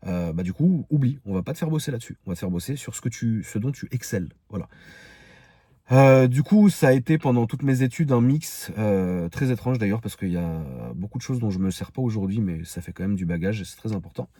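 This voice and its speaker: Low-voiced Parisian man, close dry sound. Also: warm tone, husky, dry recording. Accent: Parisian French. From Paris, France. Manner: rambling, frank, slangy. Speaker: online video creator. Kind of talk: casual personal storytelling to followers.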